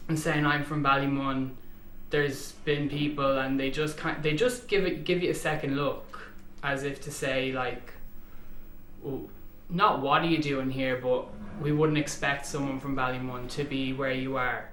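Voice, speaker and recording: A man's voice: medium pitch, flat delivery, unhurried, a bit bright. A young man with a Dublin accent talking conversationally in an interview. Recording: echoey room with faint noise.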